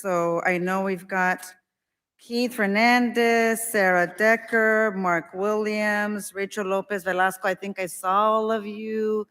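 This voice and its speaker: A woman's voice: mid-range voice, fairly bright tone, clean tone. A woman hosts a conference, talking casually and loosely with plenty of fillers. Her voice carries a long reverb from the hall. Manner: chatty, loose, with many fillers. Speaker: conference organizer and emcee. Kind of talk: casual announcements and speaker introduction.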